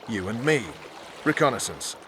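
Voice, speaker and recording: An actor voicing a gruff, wry military commander in a video game, terse and dry in delivery. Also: male voice, medium pitch, lively intonation, moderate pace, a little hiss or hum, close to an everyday voice. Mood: brisk, decisive. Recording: loud outdoor ambience, water sounds.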